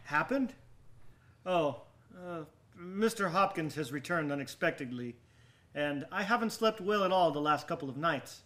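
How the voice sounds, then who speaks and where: A haunted, anxious gentleman in a gothic radio play. His voice sits high, with a bright, tense edge. He speaks theatrically in old-fashioned phrases, volatile and short of rest. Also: lively intonation, measured pace. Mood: nervous. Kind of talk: radio drama acting with dramatic monologue.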